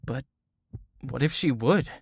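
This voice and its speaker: A woman's voice: a bass voice, slow pace, creaky, breathy edge, exaggerated voice. A woman playing a blunt, skeptical adventurer in a fantasy audio drama, with a wry way of speaking. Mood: worried, disbelieving.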